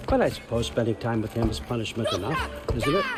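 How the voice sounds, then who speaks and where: An actor playing a scheming old ruler god in a video game, glib, falsely genial and wheedling in a conversational way. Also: male voice, mid-range voice, sing-song, over loud music, close to an everyday voice. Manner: wry, wheedling, conversational, deliberate pauses. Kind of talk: video game cutscene acting.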